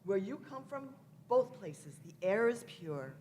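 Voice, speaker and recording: A woman's voice: medium pitch, big pitch swings, a little slow, warm tone, slight background noise. A woman playing an elderly, grandfatherly male time traveller in a live radio play, fussy and self-assured in an old-fashioned way. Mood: insistent.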